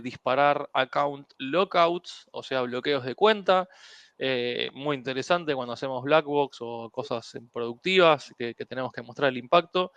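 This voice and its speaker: A man hosting a security webinar in a relaxed, rambling way, with many fillers and English tech terms. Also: medium pitch, gravelly, dry recording. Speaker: webinar host. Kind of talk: casual technical presentation.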